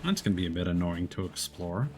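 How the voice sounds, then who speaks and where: A man's voice: low-pitched, lively intonation, fast, a little mellow. A man streaming a solo game, chatting and musing aloud, with mild complaints, over faint game music. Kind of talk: casual gameplay commentary.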